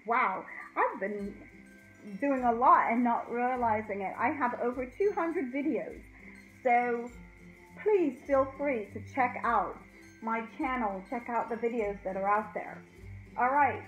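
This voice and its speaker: Chatty high-voiced woman in an echoey room. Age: middle-aged. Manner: chatty, informal, addresses viewers directly. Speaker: host of a craft channel. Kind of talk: casual DIY tutorial chat. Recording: roomy echo, faint music.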